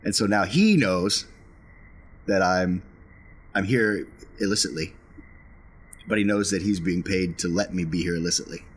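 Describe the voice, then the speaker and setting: Slightly husky, lowish-voiced man. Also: moderate pace, long pauses. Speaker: tabletop game player. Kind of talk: casual game chat.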